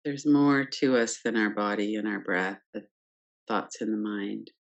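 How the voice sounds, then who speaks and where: A woman teaching guided meditation, speaking calmly and gently in short phrases with pauses between them. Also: rumbling low, lo-fi sound. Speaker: yoga nidra meditation teacher. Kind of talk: guided meditation, unscripted instruction.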